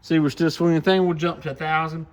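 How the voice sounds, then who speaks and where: A man with a slightly high voice presents gear in a casual, folksy demo. He sounds enthusiastic and speaks informally, as a shop presenter would to regular viewers. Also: little pitch movement, fast talker, lo-fi sound.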